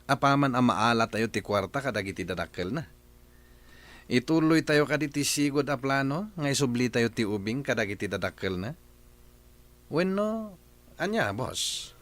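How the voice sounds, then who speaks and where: A man's voice: medium pitch, lively intonation, moderate pace, long pauses, a bit bright, lightly acted. Man playing an uneasy kidnapper henchman. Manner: hesitant, deferential to the boss. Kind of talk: radio drama acting.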